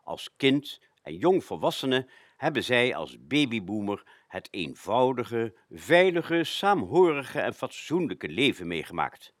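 A man presenting a radio show, narrating from a script with frequent hesitant pauses. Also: medium pitch, big pitch swings, no room sound, clean studio sound.

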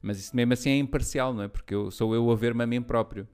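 A man's voice: mid-range voice, steady tone, fast talker, crowd noise. A man with a Lisbon-area Portuguese accent, chatting and bantering casually as a comedian and co-host. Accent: Lisbon-area European Portuguese. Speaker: stand-up comedian, talk co-host.

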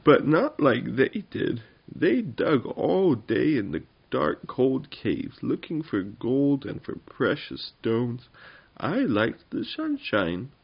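A man's voice: mid-range voice, vocal fry, slightly rough, muffled recording, pitched above the performer's own voice, full character voice. A man voicing a timid, childlike little fat male goblin in a whining, plaintive way for a storybook.

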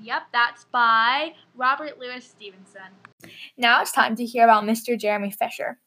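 A woman tells a children's story as narrator and host. Her voice sits a little high in pitch. She reads in a storybook style meant for young listeners. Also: moderate pace, bright, forceful tone.

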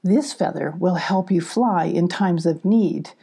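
A woman's voice: on the low side, a little slow, gravelly, dark tone, slightly rough, heavily acted. A woman voicing a motherly, wise, reassuring owl in a children's storybook, with hooting exclamations. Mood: resolute.

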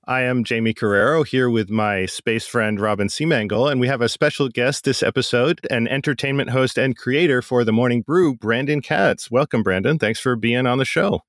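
A man hosting a podcast, with a smooth announcer-style voice and polished introductions. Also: medium pitch, normal speed, hardly any pauses, dry recording, studio quality. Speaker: podcast host.

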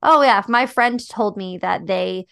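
A young adult woman co-hosting a podcast, chatting in a thoughtful, reflective way with frequent fillers, with a voice she calls high-pitched. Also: unhurried, vocal fry, a bit bright, smooth, dry recording, studio quality. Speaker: podcast co-host. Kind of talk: casual chat about a dating show.

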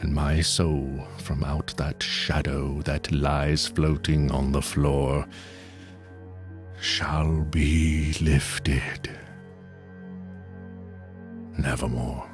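A man giving a solemn, measured, dramatic reading as narrator of a dark stories podcast. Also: very deep voice, flat delivery, over music.

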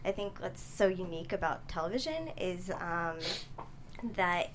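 A young woman answering interview questions as a guest writer, in a halting, thoughtful way. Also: fairly low pitch. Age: young adult. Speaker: guest screenwriter and playwright. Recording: steady background noise.

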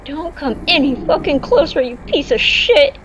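A woman acts out a desperate, tortured captive in a horror radio play. Her voice is high, and it comes through dull and lo-fi with clear noise around it. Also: lively intonation, normal speed. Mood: hostile, desperate. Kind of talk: horror audio drama acting. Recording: muffled lo-fi, noisy background.

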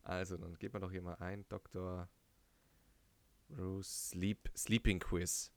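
A young man co-hosting a casual podcast chat in German, halting and restarting often and laughing easily. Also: sits low, little pitch movement, dry recording. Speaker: podcast co-host.

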